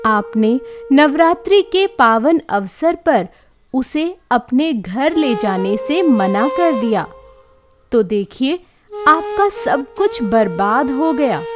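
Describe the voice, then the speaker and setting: A woman voicing a kind, pious poor village man in a storybook tale, earnest and respectful. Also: high-pitched.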